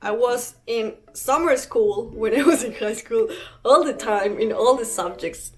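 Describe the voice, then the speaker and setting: A woman's voice: on the high side, a little slow, faint music behind. A young woman from Ecuador with an Ecuadorian Spanish accent, telling a rambling casual storytime vlog full of fillers and restarts. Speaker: vlogger.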